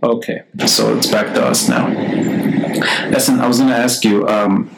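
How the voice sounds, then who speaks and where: A man's voice: fairly low pitch, big pitch swings, moderate pace, gravelly, heavy background noise. A man hosting an unscripted live interview on a video call, talking informally and hesitantly, thinking aloud as he goes. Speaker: podcast host.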